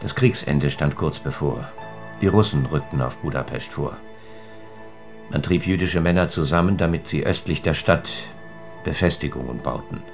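Very deep, grave historian. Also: male voice. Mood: grave, factual. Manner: sober, measured. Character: grave male Holocaust museum historian. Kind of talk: scripted lecture-like account in radio drama. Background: faint music.

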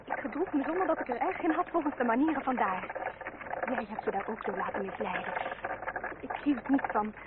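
Woman playing genteel young lady, coy, high voice, old radio play, really tinny and noisy. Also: fairly quick, lightly acted. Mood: hesitant, confessing.

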